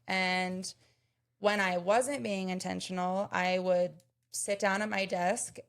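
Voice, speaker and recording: A woman's voice: on the low side, fairly even intonation, slow, creaky, clean tone. A woman in her thirties, a podcast co-host, chatting casually and earnestly, explaining her points with everyday examples. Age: 30s. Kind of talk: casual chat.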